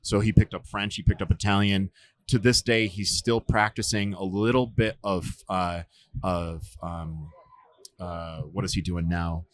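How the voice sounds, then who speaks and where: A man in his forties, a survival instructor, telling stories in a relaxed interview, with lots of fillers and restarts. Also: low-pitched, a little slow, no room sound. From United States. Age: 40s. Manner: rambling, many fillers and restarts. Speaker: podcast guest, survival instructor. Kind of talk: casual storytelling interview.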